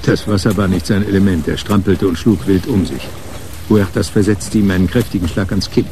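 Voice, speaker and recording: An actor narrating a radio crime drama as a composed storyteller. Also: male voice, sits low, fairly even intonation, fairly quick. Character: composed male storyteller of a detective tale.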